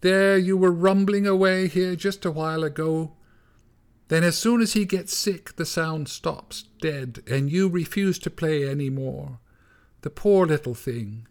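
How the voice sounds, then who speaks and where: A tiny, fretful mother field mouse with a high, wavering voice, pleading and fussing politely over her sick child, as a man reading a storybook puts her on. She speaks in a pitched-up squeak well above the reader's own voice, anxious and very proper. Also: a little slow. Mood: tearful.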